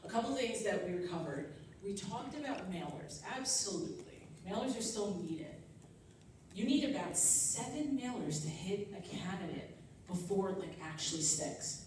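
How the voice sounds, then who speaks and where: A woman's voice: mid-range voice, lively intonation, normal speed, a little mellow, slight background noise. A young woman from Long Island giving a speech at a political training event, earnest and upbeat, with frequent "um" and "like". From Long Island, New York. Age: young adult. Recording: echoey room, voice picked up from far off.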